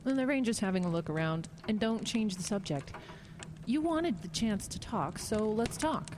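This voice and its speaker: An actress playing a prickly, assertive government official, dry and argumentative, in an audio drama. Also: female voice, mid-range voice, animated, moderate pace, natural voice. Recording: noisy storm ambience behind.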